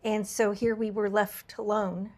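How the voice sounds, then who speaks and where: A woman hosting a podcast, her voice a little raspy from travel, talking in an earnest, reflective way with frequent fillers and restarts. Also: mid-range voice, little pitch movement, unhurried. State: slightly raspy voice from travel fatigue. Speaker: podcast host. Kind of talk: candid podcast conversation.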